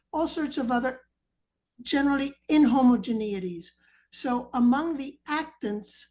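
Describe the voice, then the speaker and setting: A woman with a fairly high voice works through a dense philosophical question, choosing her words carefully and pausing as she goes. She speaks over a video call. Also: a little mellow, rough recording. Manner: careful, halting, abstract phrasing. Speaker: academic asking the speaker a question.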